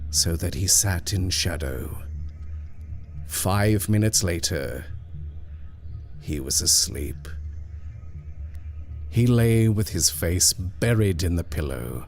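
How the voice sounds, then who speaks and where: A man reading a ghost story aloud in a measured, hushed storytelling manner. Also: low-pitched. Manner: slow, measured, hushed at tense moments. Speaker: narrator. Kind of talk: scripted horror story reading.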